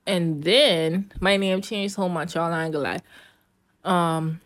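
A young woman with a lowish voice hosts her podcast solo in a relaxed, chatty way. She laughs easily and talks straight to her listeners. The sound is dry and close, with no room echo. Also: a little slow. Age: young adult. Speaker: podcast host. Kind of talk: casual solo podcast chat. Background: rain.

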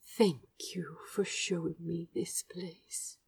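A woman voicing a tender, teasing prince in a romantic story, murmuring softly and breathily. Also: fairly low pitch, fairly even intonation, slow pace, gravelly, husky, recorded from a distance, heavily acted. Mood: whispered adoration.

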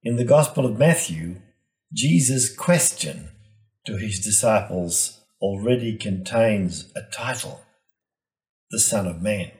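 A male priest giving an expository reflection on scripture in a measured, teaching way, stressing key names and phrases. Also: lowish voice, lively intonation, darkish tone, low-quality recording.

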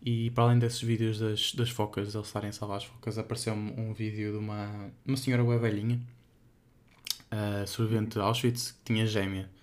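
A man's voice: lowish voice, level tone, a little fast, a little mellow. Hesitant young Portuguese man, casual podcast talk. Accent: European Portuguese. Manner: hesitant, rambling, filler-heavy. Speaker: podcast co-host.

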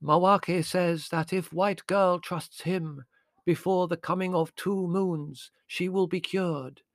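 A man's voice: high voice, fairly even intonation, dry recording, voice pushed up. A man voicing a pompous female medium in a theatrical, incantatory trance, with a put-on Indian accent. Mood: solemn trance. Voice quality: drawn-out, incantatory delivery.

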